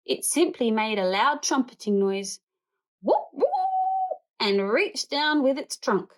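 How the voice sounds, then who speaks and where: A woman reading a picture book aloud to children in an expressive, animated storytelling way. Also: mid-range voice, poor audio. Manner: animated, acts out characters and animal noises.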